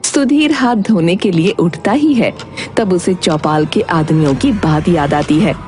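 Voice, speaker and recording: A woman's voice: lowish voice, expressive, unhurried, a little mellow. A woman reading scripted narration for a children's story. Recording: prominent music behind the voice.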